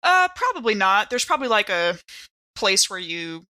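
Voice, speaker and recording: A woman playing a tabletop roleplaying game, talking casually and in character, animated and playful. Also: medium pitch, normal speed.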